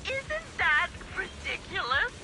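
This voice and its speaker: A woman's voice: very animated, slow pace, noisy background, heavily acted. An actress playing a vengeful, unhinged female sniper villain in a video game, sneering and theatrical with bitter mockery. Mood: manic mockery. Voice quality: high, swooping voice. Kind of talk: dramatic video game voice acting.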